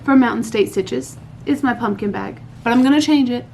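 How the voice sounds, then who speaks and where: A woman, hosting a casual craft podcast from home at night, a bit hoarse after losing her voice, chatty and rambling. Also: on the high side, a little fast, a little mellow, a little hiss or hum. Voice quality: hoarse, recovering from lost voice. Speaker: podcast host. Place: home at night, laundry running.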